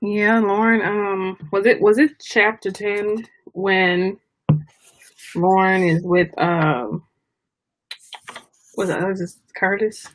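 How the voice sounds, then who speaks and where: A woman hosting a casual book discussion, speaking thoughtfully and haltingly as she searches for her words. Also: medium pitch, steady tone, poor audio. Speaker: book club discussion host.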